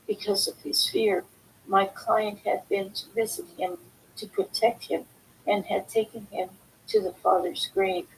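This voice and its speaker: Female book reader, mid-pitched and creaky, halting delivery, light noise and rumble on the recording. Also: low-quality recording. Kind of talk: slow read-aloud of book excerpts. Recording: faint background noise, low rumble.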